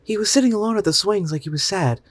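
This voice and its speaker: A man voicing an eager young boy in a story, telling things in an excited, childlike way. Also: high-pitched, quick pace, no room sound, pitched above the performer's own voice. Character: eager young boy, happy about a new friend.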